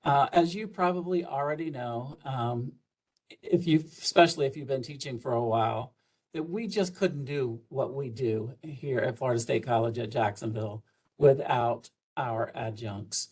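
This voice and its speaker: A man's voice: medium pitch, moderate pace, darkish tone, husky. Older man, college administrator, folksy welcome on a video call, lots of fillers. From South Georgia, USA. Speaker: college administrator introducing the president.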